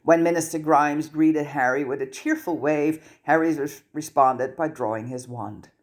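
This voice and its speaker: A reader giving an expressive scripted narration of a story, as in an amateur audiobook. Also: mid-range voice, unhurried. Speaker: fan fiction audiobook reader.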